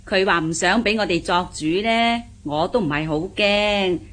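A woman's voice: medium pitch, normal speed, a bit bright, natural voice. An actress playing an anxious, traditional older wife and mother in a Cantonese radio period drama, complaining worriedly in a Hong Kong accent.

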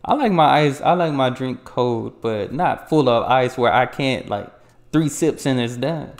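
A man's voice: medium pitch, animated. Reaction host, young man from Texas, chatty, laughs a lot, desk mic. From Texas, USA. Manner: laughs often, quick asides. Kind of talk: casual reaction commentary. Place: small room, indoors. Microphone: headphones, desk mic.

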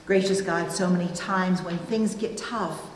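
A middle-aged woman preaching a sermon from a pulpit, with deliberate, emphatic phrasing and pauses. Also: lowish voice. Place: indoors at a church pulpit. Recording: roomy, reverberant church, distant, faint noise.

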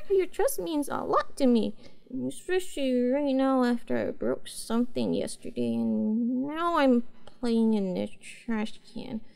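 A woman's voice: high-pitched, creaky, a little mellow, heavily acted. A woman voicing a cute, girlish young catgirl pet in a roleplay audio, speaking in a hesitant, earnest, apologetic way to her master. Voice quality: cutesy, girlish.

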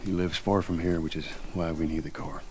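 A man playing a gruff weary smuggler. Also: low-pitched, fairly even intonation, a little fast, over music, natural voice. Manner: terse, dry, grumbling. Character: gruff weary middle-aged male smuggler, guarded.

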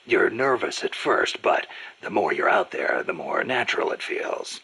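A man playing a gruff, fatherly veteran soldier in a video game, gravelly and raspy, speaking in a measured, reassuring way. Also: fairly low pitch, lively intonation, sharp tone, exaggerated voice. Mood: awkward. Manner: measured, reassuring, halting on awkward subjects.

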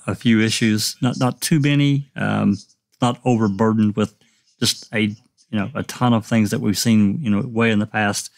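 A man's voice: fairly low pitch, vocal fry, no echo. A man hosting a casual online panel discussion, reading viewer comments aloud and talking through them with many fillers. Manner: reads viewer chat aloud, hedging with fillers. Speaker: livestream discussion host.

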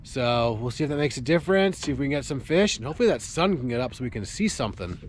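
A man's voice: medium pitch, very fast. A man in his thirties on a small fishing boat, chatting casually to an action camera held at arm's length and explaining what he is doing. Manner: relaxed, chatty, explains as he goes. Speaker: fishing vlogger. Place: outdoors on a small fishing boat on open water. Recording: outdoor camera audio with boat noise. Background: boat and water noise.

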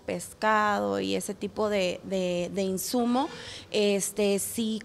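A woman's voice: medium pitch, slight background noise. A young woman, interviewed in a restaurant on a handheld mic, talking with many fillers and restarts. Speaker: restaurant association president. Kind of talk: news interview. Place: indoors in a restaurant. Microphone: handheld reporter mic.

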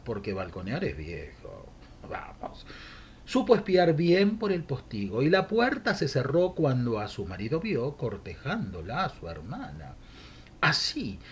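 Older Rioplatense man, husky voice, wildly swooping pitch, mock-gossip verse read to a webcam at home. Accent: Rioplatense Spanish. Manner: theatrical, mock-gossipy, chuckling asides. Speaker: monologue host. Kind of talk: recited humorous verse monologue. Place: home room, indoors. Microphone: webcam at desk.

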